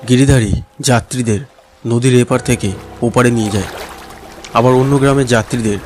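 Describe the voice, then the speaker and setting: A man's voice: medium pitch, fairly even intonation, brisk, darkish tone, faint music behind. A man narrating a scripted cartoon story as its storyteller.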